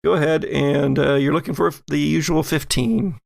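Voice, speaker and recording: A man with a creaky voice narrating and making rulings as game master of a tabletop role-playing game, in a measured, conversational way. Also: on the high side, steady tone, slight background noise. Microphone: close studio microphone.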